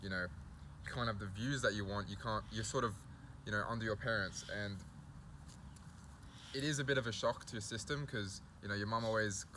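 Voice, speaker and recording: A young man hosting a casual chat, relaxed, full of "like" and "you know". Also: lowish voice, fairly quick, slight background noise. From Australia. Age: young adult. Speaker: host.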